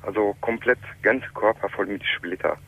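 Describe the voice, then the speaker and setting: A man in his late thirties from Iran, calling in to a radio talk show and telling his story in halting, German. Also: lowish voice, vocal fry, no room sound. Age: 30s. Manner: halting, plain non-native German. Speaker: caller. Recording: muffled telephone line.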